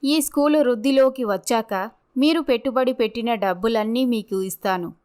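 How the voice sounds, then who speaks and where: Woman acting a clear-voiced, dignified young mother. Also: fairly high pitch, moderate pace, darkish tone, lightly acted. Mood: grateful, resolved. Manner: polite, earnest. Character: sorrowful, grateful, dignified abandoned wife and young mother.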